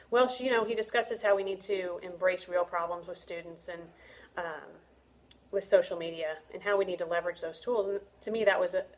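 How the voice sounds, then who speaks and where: A middle-aged woman with a slightly low voice reflects aloud for a class. She sounds hesitant and a little self-conscious, pausing on ums and restarting her sentences. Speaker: student reflecting on a course. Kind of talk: casual spoken reflection.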